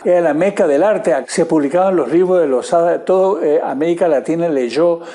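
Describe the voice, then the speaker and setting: A man's voice: fairly high pitch, moderate pace, dark tone. A man being interviewed, reminiscing in spontaneous talk.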